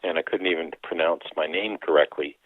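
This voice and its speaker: A man's voice: a bass voice, steady tone, fairly quick, no echo. A man in his sixties from Canada, a priest and author, giving reflective interview answers with frequent restarts. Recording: tinny phone-like line.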